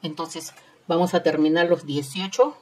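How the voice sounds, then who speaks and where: A woman's voice: on the low side, fairly even intonation, normal speed, a little mellow. A woman hosting an informal step-by-step craft tutorial, instructing with repeated phrases and tag questions. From Peru. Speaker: host of a crochet tutorial channel.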